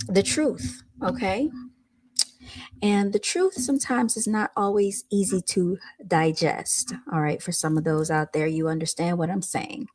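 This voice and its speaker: A woman's voice: medium pitch, a little mellow. Lady w a light voice, livestream host just chatting casually w her viewers, says y'all. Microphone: speaking into a microphone.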